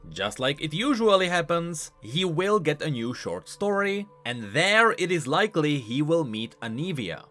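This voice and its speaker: A man hosting a video game lore explainer, speaking in an animated, emphatic way. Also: medium pitch. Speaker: host of a game lore channel. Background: music.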